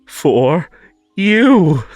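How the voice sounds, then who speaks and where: A man playing a possessive, sweetly menacing magical bunny in an intimate roleplay audio, coaxing and hypnotic in a drawn-out, soothing delivery. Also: a little high, very animated, a little mellow, dry recording, studio quality, heavily acted. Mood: unhinged, gleeful. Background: music.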